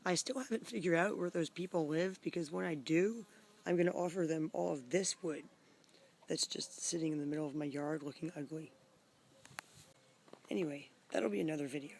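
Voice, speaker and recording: A woman keeps a casual video diary from her floor at home. Her voice is hoarse and weak, often dropping to a murmur with some gravel in it. A faint background hum sits under the handheld recording. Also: low voice, a little fast. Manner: soft, murmuring, often near a whisper. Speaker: vlogger.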